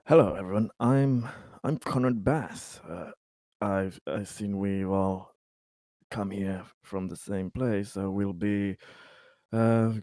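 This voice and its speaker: A man playing a tabletop role-playing game, improvising in-character dialogue in a friendly, halting way. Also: fairly low pitch, mellow, dry recording, clean studio sound.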